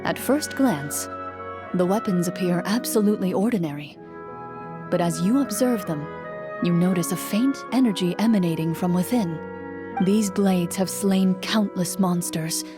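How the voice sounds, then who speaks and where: A woman voicing a game master who narrates in the second person, in a measured storytelling manner. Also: fairly low pitch, natural voice. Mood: steady. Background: music.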